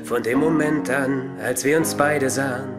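An actor voicing a devoted, nerdy young husband in a German-dubbed sitcom. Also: male voice, medium pitch, steady tone, a little slow, close to an everyday voice. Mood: tender, romantic. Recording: loud guitar music under voice.

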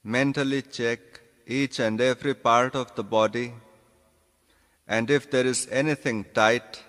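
A man giving guided meditation instructions as a yoga nidra teacher, speaking deliberately in short phrases with pauses. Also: medium pitch, fairly even intonation, a bit bright. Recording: long reverberant hall echo.

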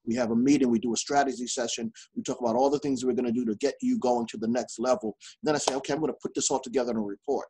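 A man's voice: mid-range voice, fairly even intonation, racing, hardly any pauses, mellow, slight background noise, rough recording. A man from New York City hosting a business podcast over a video call, upbeat and chatty. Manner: upbeat, laughs often, chatty. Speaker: podcast host. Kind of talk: casual interview. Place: indoor office.

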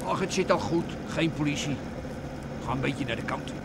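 An actor playing a slangy petty car thief's accomplice in a vintage radio play. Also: male voice, on the high side, moderate pace, gravelly. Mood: alarmed. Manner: colloquial underworld slang. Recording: old radio recording with car noise.

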